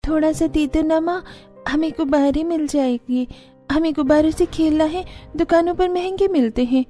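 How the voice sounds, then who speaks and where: Woman as little cartoon child, very high voice, innocent, eager, pleading, music in background. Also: normal speed, dark tone, close to an everyday voice. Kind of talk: cartoon story acting.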